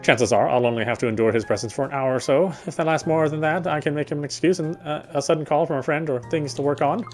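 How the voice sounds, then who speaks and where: Male game player as narrator: rapid read-aloud delivery with occasional stumbles, music underneath. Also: a little high. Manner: stumbles and rereads phrases. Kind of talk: reading visual novel narration aloud.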